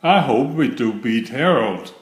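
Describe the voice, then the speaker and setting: A man's voice: mid-range voice, lively intonation, measured pace, lightly acted. A man voicing a hard-working, hopeful male railway fireman in a storybook, exclaiming with effort. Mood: weary, hopeful. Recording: roomy, echoing voice recording.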